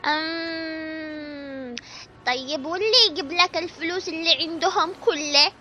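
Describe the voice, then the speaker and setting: A woman's voice: high-pitched, measured pace, sharp tone. A woman voicing a cheeky, shrewd young girl in a comic cartoon, insistent, with an Adeni Yemeni accent. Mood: sly, insistent. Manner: bargaining, insistent.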